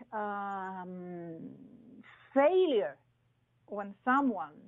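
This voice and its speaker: A woman from Greece, a guest in an interview, talking slowly and thoughtfully with many pauses and fillers. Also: medium pitch, dull audio. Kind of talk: interview conversation.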